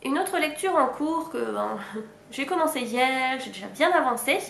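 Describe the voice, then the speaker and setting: A woman's voice: high-pitched, reverberant, a little hiss or hum. A young woman with a stuffy cold, chatting frankly about her reading as a book vlogger, with sighs and small laughs. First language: French. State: sick with a stuffy nose. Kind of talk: casual reading update.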